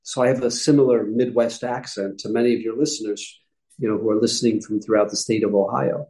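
A middle-aged man with a mellow, warm tone tells his story in a loose, explanatory way. He often stops to correct a word or add an aside as he goes. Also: on the low side, steady tone, a little fast, poor audio. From upstate New York. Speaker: guest rabbi. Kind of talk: conversational interview answers.

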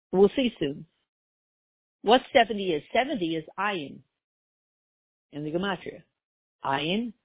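A woman with a husky, slightly low voice teaches a religious class. She works through ideas with rhetorical questions and homely comparisons. The sound is dry and thin, like a phone line. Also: no room sound. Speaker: Torah teacher.

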